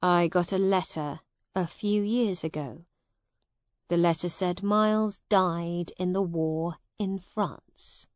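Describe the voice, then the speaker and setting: A stern, cold-hearted brother from an audiobook , acted by a woman. He is curt and commanding, with very slow, deliberate words in a dry recording. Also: on the low side, no echo. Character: cold, stern, hostile brother disowning his sibling. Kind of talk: graded audiobook character dialogue.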